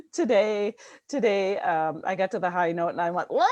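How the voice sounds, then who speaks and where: A woman on a video call chatting casually about her spiritual work, laughing often and poking fun at herself, with a slightly raspy voice. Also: mid-range voice, expressive, a little slow, very noisy. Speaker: guest, light language channeler. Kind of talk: casual chat.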